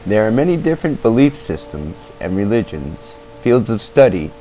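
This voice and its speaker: A man hosting a podcast, reading scripted narration in an earnest, deliberate manner over a basic amateur recording. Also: fairly low pitch, big pitch swings, mellow, low-quality recording. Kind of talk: scripted narration on the paranormal in old-time radio format. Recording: basic amateur equipment, music underneath.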